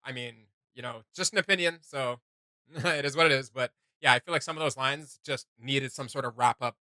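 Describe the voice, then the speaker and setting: A man with a very bright, forward voice at an ordinary pitch, giving casual reaction commentary. He thinks aloud informally and emphatically, and the sound is close and dry. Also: brisk, no echo. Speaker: reaction video co-host.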